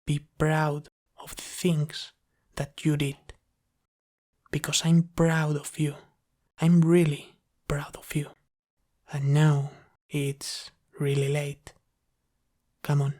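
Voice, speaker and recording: Man playing a slow, gentle, comforting demon. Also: a little high. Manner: soft-spoken, reassuring, unhurried with pauses. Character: gentle, caring male sleep paralysis demon comforting his human. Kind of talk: ASMR roleplay, soothing sleep aid.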